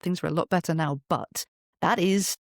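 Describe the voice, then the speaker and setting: A woman's voice: fairly low pitch, normal speed, dry recording, clean studio sound. A woman in her fifties talking conversationally as an interview guest, articulate and chatty, often acting out quoted remarks. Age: 50s. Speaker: interview guest, performer and poet.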